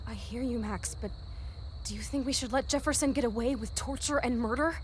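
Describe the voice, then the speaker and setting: An actress playing a brash, loyal young best friend in a video game, with an American accent and a slangy, heartfelt delivery. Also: female voice, fairly high pitch, brisk, a little hiss or hum, close to an everyday voice. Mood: sympathetic, shaken.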